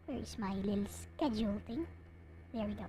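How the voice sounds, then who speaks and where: A woman's voice: mid-range voice, moderate pace, dark tone, slight background noise. A woman livestreamer chatting casually to her viewers in a hesitant, rambling way. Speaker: live streamer, host.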